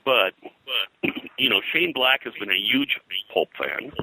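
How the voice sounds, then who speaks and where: An older man talking as an interview guest, explaining things in detail with a halting, conversational delivery. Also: mid-range voice, gravelly, pushed and bright, slightly rough, dry recording, poor audio. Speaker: interview guest, pop culture historian. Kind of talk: conversational interview, recollection and commentary.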